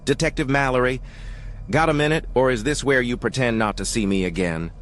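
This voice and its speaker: An actor playing a tough, cynical 1940s private eye in a noir radio drama, clipped, sardonic and confrontational. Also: male voice, medium pitch, fairly quick, lightly acted. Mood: sardonic, pressing.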